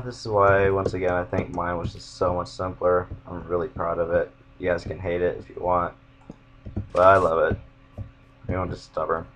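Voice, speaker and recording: A young man with a monotone voice, casually rambling as he walks viewers through his game builds. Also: sits low, slight background noise, rough recording. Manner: rambling, self-interrupting. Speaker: gamer showing his build.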